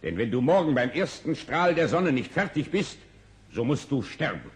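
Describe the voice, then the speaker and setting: A man's voice: medium pitch, sing-song, close to an everyday voice. A man playing a greedy, stern king with an imperious, pompous delivery in an old fairy-tale radio play. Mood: stern command. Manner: pompous, imperious, drawn-out words. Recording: long reverberant hall sound.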